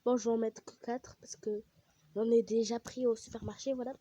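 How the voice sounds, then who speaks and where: A woman's voice: fairly high pitch, flat delivery, brisk, mellow, muffled recording. A young woman with a cold chats casually and rambles with many fillers while she plays a game. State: has a cold, coughing. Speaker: gaming vlogger.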